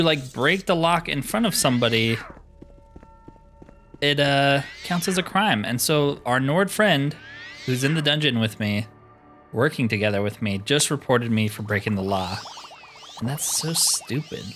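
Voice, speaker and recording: A man's voice: medium pitch, fairly bright tone. A man giving casual, mildly exasperated commentary while playing a video game, thinking aloud as he goes. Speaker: gaming video host.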